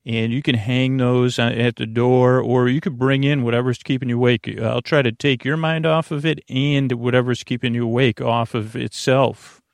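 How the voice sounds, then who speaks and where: A man hosting a bedtime podcast in a creaky voice, rambling in a lulling, halting, meandering way. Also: mid-range voice, steady tone, dry recording, clean studio sound. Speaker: podcast host.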